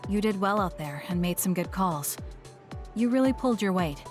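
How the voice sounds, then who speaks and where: A woman plays a calm young female comrade in an anime game cutscene. Her mid-range voice is composed and encouraging, with music clearly heard behind it. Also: medium pitch, normal speed, close to an everyday voice.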